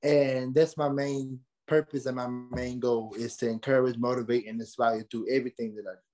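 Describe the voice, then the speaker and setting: Interview guest, male, late teens, mid-range pitch, talks casually like a podcaster. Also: level tone, moderate pace, warm tone. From South Carolina, USA. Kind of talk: casual conversational interview answers.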